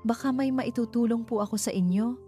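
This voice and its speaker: A woman voicing a calm husband in a storybook, speaking with cold, mock politeness. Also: fairly high pitch, brisk, pitched above the performer's own voice, close to an everyday voice. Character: calm young husband, coldly polite and sarcastic. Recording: music behind the voice.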